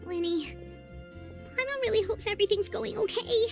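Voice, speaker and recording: Squeaky, tiny, chirpy fairy companion. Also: female voice, normal speed, gravelly, over music. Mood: earnest. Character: tiny childlike female fairy companion, chatty and earnest. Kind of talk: video game voice acting.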